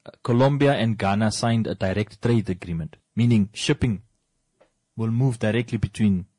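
A man hosting a relaxed studio talk show, his voice sitting in a deep bass register. He explains at length and climbs higher when he presses a point. The sound is dry and close, with almost no room in it. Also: normal speed, husky, no room sound. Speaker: radio show host. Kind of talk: casual studio discussion. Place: broadcast studio.

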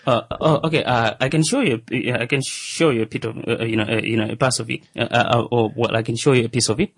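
A man's voice: mid-range voice, fairly even intonation, fast, dry recording. Hesitant man full of fillers. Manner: hesitant, many fillers, laughing. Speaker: radio presenter and comedian. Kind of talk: casual studio banter.

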